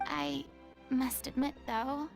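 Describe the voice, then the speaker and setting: An actress playing a proud retired young stage star in a game, speaking in an eloquent, theatrical and formal way. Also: female voice, sits high, big pitch swings, slow pace, soft background music, heavily acted. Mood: firm, wistful.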